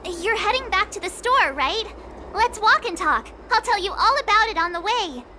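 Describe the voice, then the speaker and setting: A woman voicing a spirited, assertive young girl adventurer in a video game, sassy and expressive. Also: very high-pitched, moderate pace, noisy background. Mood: businesslike. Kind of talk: video game character dialogue.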